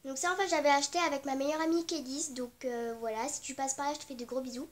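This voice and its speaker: High-voiced girl from with a cold. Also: steady tone, fast. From Réunion. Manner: chatty and rambling, apologetic, many fillers and restarts. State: has a cold, stuffy. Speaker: beauty vlogger. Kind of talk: casual beauty vlog chat.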